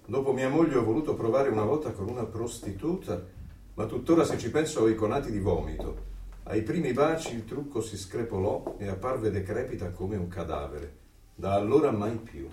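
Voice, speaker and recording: Man acting a guarded, expressive middle-aged man, echoey. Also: fairly low pitch, moderate pace, close to an everyday voice. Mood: anxious, brooding. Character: guarded, curious middle-aged man, secretly dissident and anxious. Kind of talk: radio drama acting, dialogue and inner monologue. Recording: echoey, distant, music or noise behind.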